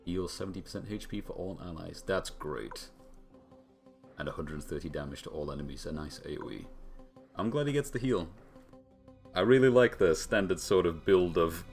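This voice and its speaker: Deep-voiced man streaming a game. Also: expressive, normal speed, vocal fry, a little mellow. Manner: casual, wry asides between lines. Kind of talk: live game stream commentary, reading dialogue aloud. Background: video game music.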